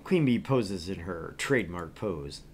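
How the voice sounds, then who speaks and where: Animated man narrating a visual novel game. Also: deep voice, measured pace, husky. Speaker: co-host of a gaming stream, reading the game aloud.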